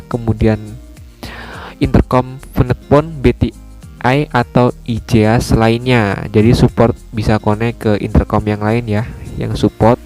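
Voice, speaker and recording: A man's voice: mid-range voice, a little mellow. A man giving a casual, informal product review, explaining points and repeating them for emphasis. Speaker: motovlogger reviewing gear.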